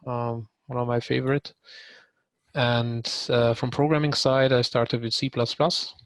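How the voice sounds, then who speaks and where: Gravelly-voiced man from Hungary, hesitant. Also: medium pitch, dry recording. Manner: hesitant, many ums and pauses. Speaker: trainee introducing himself. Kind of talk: casual self-introduction on a video call.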